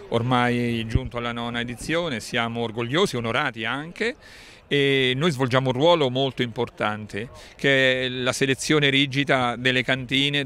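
A man's voice: medium pitch, sharp tone, smooth. A man in his sixties speaking as an association spokesman in an outdoor TV interview. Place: outdoors on a lawn by marquees. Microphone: handheld interview microphone.

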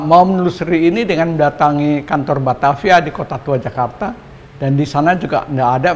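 An older man speaking conversationally in an interview at home, pausing often. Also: on the high side, a little hiss or hum. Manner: hesitant, uses fillers like apa. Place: indoor home.

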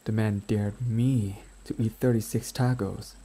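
A man playing a gentle, timid, grieving best friend in an intimate audio roleplay, speaking softly and haltingly as he confides. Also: lowish voice, steady tone, breathy edge. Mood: wistful, fond. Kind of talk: boyfriend ASMR audio roleplay, intimate dramatic acting. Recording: noticeable background ambient noise.

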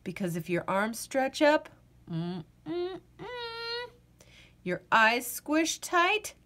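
A woman reading a children's picture book aloud, playful and expressive, acting out the yawns as she goes. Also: high voice, slow pace.

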